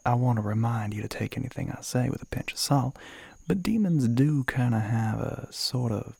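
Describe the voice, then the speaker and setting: Man playing a breathy, gravelly Southern devil. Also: medium pitch, no room sound. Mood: candid, sly. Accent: Southern American. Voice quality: breathy, gravelly, often dropping to a whisper. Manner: drawling, teasing, coaxing with pet names. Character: suave, flirty Southern devil, a teasing deal-making male demon. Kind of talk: flirty ASMR roleplay monologue.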